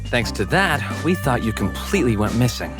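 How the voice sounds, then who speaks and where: A man's voice: medium pitch, sing-song, moderate pace, natural voice. Man acting skeptical investigator with swooping intonation. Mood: probing. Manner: probing, pointed, sardonic. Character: skeptical, blunt male investigator interrogating a witness. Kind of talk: visual novel English fan dub, dramatic dialogue. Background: music.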